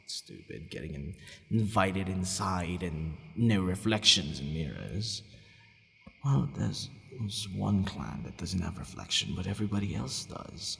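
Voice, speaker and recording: A man playing a centuries-old, courteous but possessive vampire in an ASMR roleplay, deliberate and hesitant, sometimes murmuring almost to a whisper. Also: sits low, big pitch swings, vocal fry, a bit bright, exaggerated voice. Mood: candid, dismissive. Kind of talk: ASMR roleplay addressed to the listener. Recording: long reverberant hall echo.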